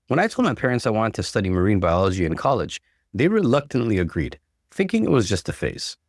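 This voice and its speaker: Animated male story narrator with a dry sound. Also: medium pitch, no echo. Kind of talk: expressive first-person story narration, acting out dialogue.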